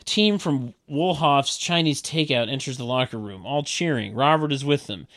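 A man narrating a script table read and bantering casually, with a deadpan, wry manner. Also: medium pitch, normal speed.

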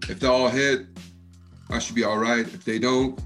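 A deep-voiced rapper kicking back on a music podcast, joking in slang, an American man with East Coast flavor, a track playing underneath. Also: little pitch movement, normal speed, fairly bright tone. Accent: American with East Coast touch. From Texas, United States. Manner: slangy, easygoing, jokey. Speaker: hip hop artist, interview guest. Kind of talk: casual chat.

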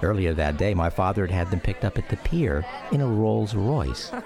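A low, gravelly grown son reminiscing. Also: male voice, sing-song, normal speed, a crowd in the background. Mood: fond, reflective. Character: grown son wistfully recounting memories of his father. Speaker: narrator of a radio drama. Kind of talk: scripted dramatic memoir narration.

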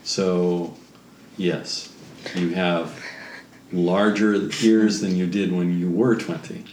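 A man with a very deep voice runs a tabletop game, patiently laying out rules and describing characters. He sits in an echoey room with a faint hiss behind him. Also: steady tone, slow pace, darkish tone, far from the mic. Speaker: game master. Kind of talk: running a tabletop game, casual.

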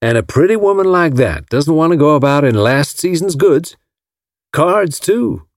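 A man voicing a folksy, lovestruck rich gentleman from Alabama with a drawling Southern American accent, in an audiobook. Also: fairly high pitch, expressive, moderate pace, no echo, clean studio sound, pitched above the performer's own voice. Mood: confiding.

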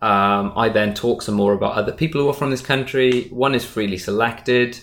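Animated man chatting casually. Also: mid-range voice, brisk. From Wales. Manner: animated, emphatic, acts out imagined dialogue. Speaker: stage magician being interviewed. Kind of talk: casual conversational interview.

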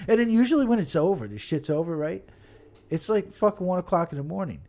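Male co-host, higher-pitched and lively, profane comic griping in casual conversation. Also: fast. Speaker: podcast co-host. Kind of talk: casual chat.

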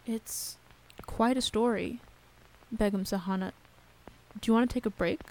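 A woman's voice: fairly high pitch, normal speed, a little mellow, slight background noise, natural voice, poor audio. An actress playing a grave, intent female interviewer in a radio play, speaking in a restrained and serious way. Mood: gentle, curious.

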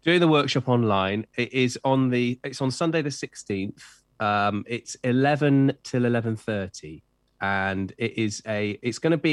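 A man's voice: fairly low pitch, no echo, clean studio sound. A middle-aged man who grew up in Wales, an author chatting enthusiastically in an interview with lots of fillers and restarts. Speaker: children's author and editor. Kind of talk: interview chat.

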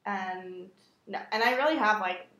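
A woman's voice: mid-range voice, a little slow, sharp tone. A young woman hosting a podcast in casual chat, rambling with many fillers. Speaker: podcast host. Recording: roomy room echo.

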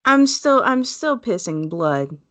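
A woman's voice: sing-song, slow pace, a bit bright, dry recording. Teasing woman podcast co-host. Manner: teasing, wry, with sudden high jumps of pitch. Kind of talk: casual comedic podcast banter.

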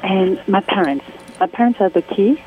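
A woman from Congo with a French accent, speaking as an artist guest on a phone interview, soft-spoken and halting in English, laughing often. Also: mid-range voice, expressive. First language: Swahili, Lingala and French. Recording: tinny phone line with faint noise.

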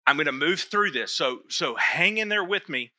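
A middle-aged man preaches to his church in a loose, conversational way, mixing Bible reading with self-deprecating asides. His voice is very bright and sits a little high for a man. The sound is dry and close, with almost no room echo. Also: expressive, moderate pace. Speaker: pastor. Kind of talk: sermon.

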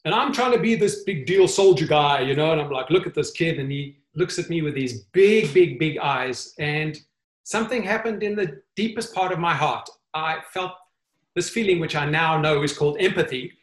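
Man, slightly high voice, earnest storyteller, roomy call audio. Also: lively intonation. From South Africa. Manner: earnest, halting with many fillers. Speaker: guest. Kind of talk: storytelling in an online interview. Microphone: video call.

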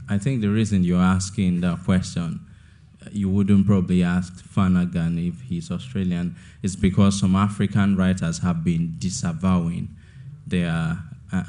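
A man's voice: low-pitched, level tone, unhurried, dark tone. A young man in his twenties from Nigeria, an author answering questions in an onstage interview, thoughtful and often repeating words as he finds his point. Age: 20s.

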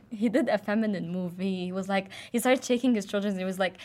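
A woman's voice: mid-range voice, brisk. A young woman from Jordan, casually telling a personal story with many fillers and restarts. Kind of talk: casual chat.

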